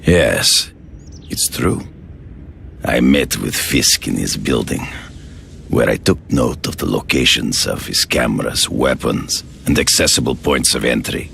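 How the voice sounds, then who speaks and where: An actor playing a stern, proud Russian hunter who speaks formally, in a game cutscene. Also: male voice, expressive, a little slow, fairly bright tone, slight background noise, full character voice. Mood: grave. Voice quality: deep gravelly, formal.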